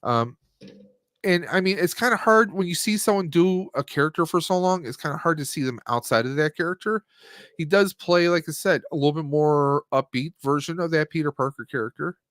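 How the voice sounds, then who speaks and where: A man's voice: on the high side, moderate pace, fairly bright tone, dry recording, studio quality. A man hosting a casual movie review stream, talking in a rambling, conversational way and often rephrasing himself. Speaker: podcast host.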